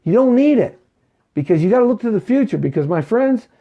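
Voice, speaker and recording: A man's voice: sits high, very animated, fairly quick, highs cut off. A man in his fifties hosting a live money talk show, preaching and repeating himself, and often checking in with "okay?" and "right?". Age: 50s.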